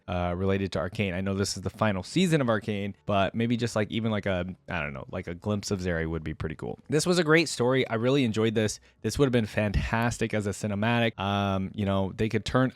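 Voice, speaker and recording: A man's voice: mid-range voice, no room sound. A man hosting a reaction video, reading and commenting casually as an informal, enthusiastic fan.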